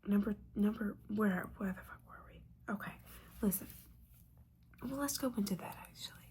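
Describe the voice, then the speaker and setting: A woman's voice: mid-range voice, moderate pace, darkish tone, lightly acted. Whispering, judgy witch mentor played by a woman. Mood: exasperated, nagging. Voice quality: breathy whisper. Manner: scolding, casual, sweary, exasperated. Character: disappointed, judgy, sarcastic witch mentor, female. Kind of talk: whispered ASMR roleplay monologue.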